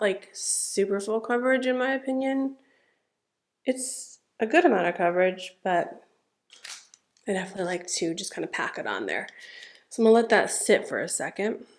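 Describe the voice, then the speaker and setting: A young woman with a stuffed-up nose who chats casually through a makeup tutorial, narrating every step with lots of "like" and "um". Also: medium pitch. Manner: chatty, relaxed, narrating each step with fillers. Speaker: beauty vlogger.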